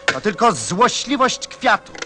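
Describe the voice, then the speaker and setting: An actor playing a busy, irritable stranded mechanic in a radio play, muttering and impatient. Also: male voice, high-pitched, sing-song, unhurried, music in the background. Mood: irritated, distracted. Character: busy, irritable stranded man tinkering with machinery.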